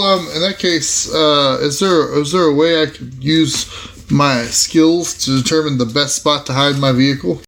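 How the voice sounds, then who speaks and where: A man playing a tabletop roleplaying game, chatting casually and thinking aloud in halting, repeated phrases. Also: mid-range voice, a bit bright. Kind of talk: casual game-table chat, improvising in character.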